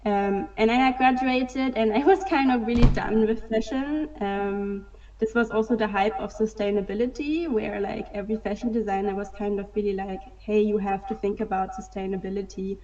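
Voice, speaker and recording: A woman's voice: medium pitch, normal speed, darkish tone, slight background noise. A woman, answering interview questions casually and hesitantly, with many filler sounds. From Germany. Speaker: guest, digital fashion artist. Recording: distant, dull sound.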